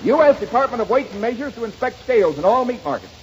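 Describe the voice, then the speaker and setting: An actor playing a brash newspaper gossip reporter with snappy, urgent delivery in a 1930s radio comedy. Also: male voice, extremely high pitch, very animated, fast talker. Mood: brisk, urgent. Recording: dull, hissy 1930s radio recording.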